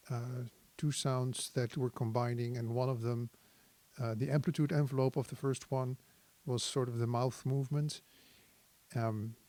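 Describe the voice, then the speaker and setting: A man giving a casual technical tutorial, thinking aloud in a hesitant, halting, analytical way. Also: mid-range voice, warm tone, no echo. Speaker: synthesizer demonstrator.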